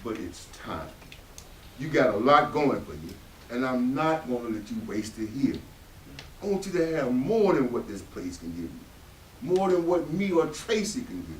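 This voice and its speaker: A man's voice: mid-range voice, sing-song, moderate pace, a little hiss or hum, close to an everyday voice. A man with a Southern American accent playing an embittered, protective father in a stage reading, in emphatic folksy speech. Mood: weary, firm. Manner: emphatic, folksy vernacular speech with dramatic swells. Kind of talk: stage readers theatre acting. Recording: echoey room, distant stage microphone.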